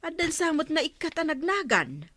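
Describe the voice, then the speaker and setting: A woman's voice: high-pitched, sing-song, normal speed, fairly bright tone. A woman with an Ilocano Filipino accent playing a fussy village woman in a radio comedy drama.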